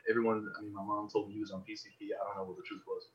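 A man's voice: on the low side, racing, background noise. American guy rambling casually, slightly dull sound. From Los Angeles area, United States. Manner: animated, rambling, slangy, swears freely. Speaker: podcast host. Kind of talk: casual chat, telling personal stories.

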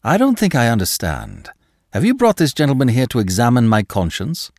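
A man's voice: medium pitch, huge pitch swings, brisk, a little mellow, no room sound. A man voicing a witty young lawyer who answers coldly and with dry irony. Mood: cool. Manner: cold, ironic. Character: cool, witty young lawyer, dryly sarcastic.

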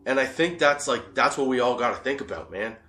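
A man's voice: mid-range voice, lively intonation, a little fast, sharp tone. Profane, rambling stoner man in echoey room. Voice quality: profane, laughs often. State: high on cannabis. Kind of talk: rambling casual monologue.

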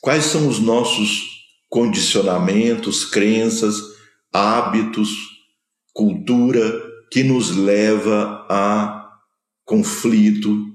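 Slow, low-voiced teacher with a Minas Gerais accent. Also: male voice, roomy sound. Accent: Brazilian Portuguese, Minas Gerais. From Minas Gerais, Brazil. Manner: slow, measured, pausing between phrases. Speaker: spiritual teacher. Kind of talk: spoken lecture on scripture.

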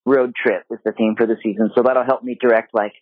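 Man, fast relaxed chatter, grew up in Japan, thin phone-like sound. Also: mid-range voice, dry recording. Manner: relaxed, talkative, lots of fillers. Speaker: guest, apparel designer. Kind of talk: casual interview conversation. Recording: dry, phone-like remote call audio.

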